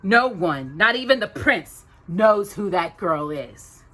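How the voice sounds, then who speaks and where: Woman playing sharp, scheming storybook stepmother. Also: mid-range voice, lively intonation. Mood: sharp, urgent. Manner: sharp, deliberate, imperious. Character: stern, scheming, commanding older stepmother.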